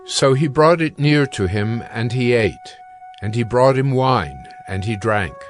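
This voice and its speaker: Mid-pitched man narrating scripture over music. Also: expressive, measured pace. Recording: music under the voice.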